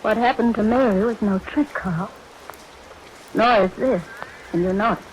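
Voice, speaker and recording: An actress playing an uneasy, sensible wife in a vintage radio horror play, apprehensive and pointed. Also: female voice, medium pitch, moderate pace, slight background noise, lightly acted, poor audio. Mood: pointed worry. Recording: old radio recording with rain. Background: rain.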